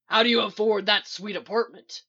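A woman reading as a blunt, gruff male criminal partner in a story. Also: mid-range voice, slow, a bit bright, dull audio. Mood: upset, demanding.

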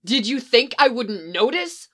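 A woman doing a reckless young male agent who shouts and curses through his anger, the voice loud, bright and sharp-edged. The tone stays bitter and raw, reading from a storybook. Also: mid-range voice, very animated, normal speed. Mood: furious.

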